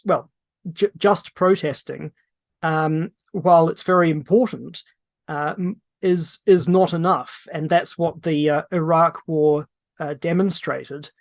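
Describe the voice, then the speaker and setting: Halting man answering questions, slightly high voice. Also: vocal fry. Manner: halting, many restarts and fillers. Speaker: main speaker answering questions. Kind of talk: political Q&A at an online public meeting. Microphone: video call microphone.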